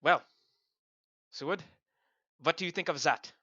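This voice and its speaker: A man's voice: on the high side, measured pace, bright, forceful tone. A man playing a grave, commanding older professor in a radio drama, solemn and emphatic. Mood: challenging. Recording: roomy, slightly dull, faint music.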